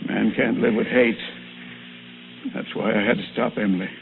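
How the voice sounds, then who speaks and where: Unhinged rural American farmer, tinny old radio. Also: male voice, lowish voice, fairly even intonation, moderate pace, gravelly, faint music behind. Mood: brooding. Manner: brooding, menacing, folksy. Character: unhinged, grim older farmer, obsessed with his land. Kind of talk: old radio drama acting. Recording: narrow, hissy vintage radio recording.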